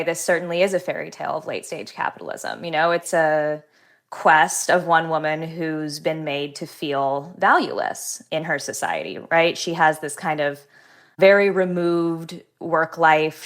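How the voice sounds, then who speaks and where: A woman novelist talking in an interview, thoughtful, articulate and measured. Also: sits low. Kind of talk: book reading and conversational interview answers.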